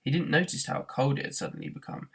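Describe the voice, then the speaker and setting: Husky, creaky male storyteller. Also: medium pitch, lively intonation, quick pace. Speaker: teacher reading a novel aloud. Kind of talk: expressive storybook narration.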